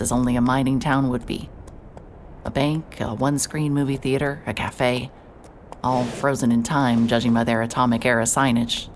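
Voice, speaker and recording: A woman narrating an audio drama in the first person, with a wry, sardonic delivery. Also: very deep voice. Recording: clear background noise.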